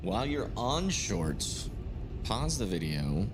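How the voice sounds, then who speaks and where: A man's voice: fairly low pitch, big pitch swings, slow, music in the background. A man hosting a casual weather livestream, thinking aloud with many fillers as he checks an app. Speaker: livestream host.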